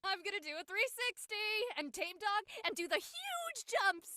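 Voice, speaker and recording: A woman playing an excitable, self-deprecating young woman with a playful, dramatic way of talking in an audio drama. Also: very high voice, normal speed, a little hiss or hum, exaggerated voice. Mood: eager. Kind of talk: acted audio drama dialogue.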